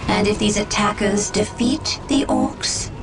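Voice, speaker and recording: An actress playing a wary, proud alien elf harlequin in a video game, speaking formally and theatrically. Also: female voice, mid-range voice, measured pace, music in the background, close to an everyday voice, rough recording. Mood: uneasy.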